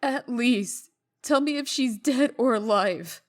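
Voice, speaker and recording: A woman playing a brisk, exasperated fairy-tale princess turned official in a comic dub, curt and guarded. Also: fairly high pitch. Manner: curt, guarded, sharp-tongued.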